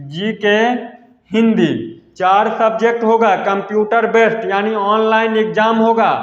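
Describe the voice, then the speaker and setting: A man gives an informal exam update to students, repeating himself emphatically and addressing them as friends. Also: high voice. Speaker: exam news presenter. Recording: echoey hall-like reverb, dull lo-fi sound.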